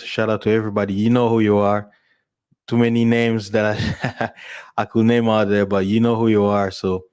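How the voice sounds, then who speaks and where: A man's voice: on the low side, a little mellow, clean tone. A man from Congo chatting casually to his listeners as a streamer and podcast host, in an informal way. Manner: informal, chuckles often.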